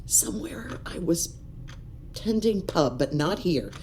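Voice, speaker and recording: Woman playing a loud chatty spaceship crewmate, low-ish dark voice, gossipy. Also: sing-song, slow pace, slight background noise.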